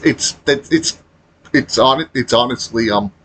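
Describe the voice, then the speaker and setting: Bright-voiced man in halting, blunt commentary. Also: mid-range voice, slight background noise, rough recording. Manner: halting delivery, blunt complaining. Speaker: gaming video creator. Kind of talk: casual unscripted opinion commentary.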